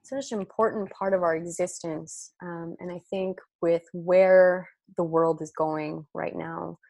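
A woman's voice: on the low side, steady tone, measured pace, gravelly, a little mellow, no echo, low-quality recording. A French Canadian woman whose first language is French, chatting as a podcast guest with many fillers and restarts. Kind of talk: casual podcast chat.

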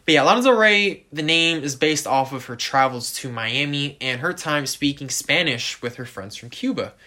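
A man co-hosting a casual music podcast, talking to listeners directly in an animated way and leaning on stock phrases. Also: medium pitch, normal speed. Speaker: podcast co-host.

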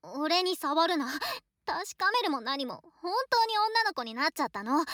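A woman playing a shy, affectionate young student, a boy turned into a girl, talking softly to a close friend in a voice drama. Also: sits high, very animated, moderate pace, no echo. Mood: frightened, pleading. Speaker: voice actor in a situation voice drama. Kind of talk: Japanese situation voice drama acting, intimate one-sided dialogue.

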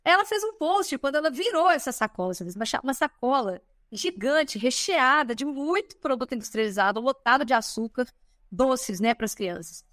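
A Brazilian woman in her thirties talks on a podcast with a high, bright-sounding pitch. Her delivery is lively and chatty, full of quick asides and confirming tags. The sound is close and dry, with no room echo. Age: 30s. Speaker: podcast guest. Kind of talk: casual chat.

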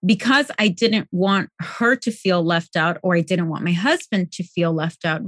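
A woman born in Colombia, a native Spanish speaker, hosting a podcast and calmly explaining parenting advice with examples. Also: medium pitch, no room sound, clean studio sound. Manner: calm, explanatory, gives examples. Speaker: podcast host, parenting coach. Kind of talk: advice-giving conversation.

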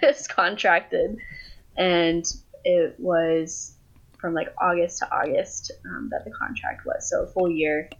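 A woman's voice: on the low side, lo-fi sound. A young woman from Thailand, a guest on a remote interview, talking casually and rambling with many fillers. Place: family home, indoors. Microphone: video call.

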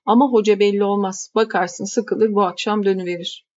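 A woman voicing a gruff village housekeeper who talks curtly and scolds. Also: mid-range voice, fairly even intonation, brisk, a little mellow, dull audio, slight background noise, close to an everyday voice. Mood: grumbling. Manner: curt, scolding.

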